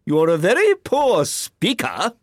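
A man's voice: sits high, huge pitch swings, slow, dry recording, clean studio sound, voice pushed up, exaggerated voice. A threatening, self-important storybook king. He speaks sharply and commandingly, with big swings of melody. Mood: irritable, threatening.

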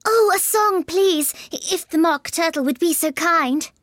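A man reading a storybook aloud, voicing a polite, puzzled young girl in a very high, eager voice. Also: lively intonation, measured pace, pushed and bright, dry recording, studio quality, exaggerated voice.

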